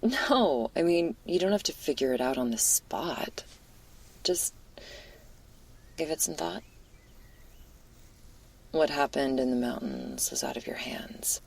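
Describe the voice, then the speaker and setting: A woman playing a laid-back, caring but anxious stoner werewolf in an intimate ASMR roleplay, speaking in casual slang with a hesitant, husky, breathy murmur that drifts into whispers. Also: low voice, animated, takes it slowly, long pauses, darkish tone, exaggerated voice. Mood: gentle, reassuring.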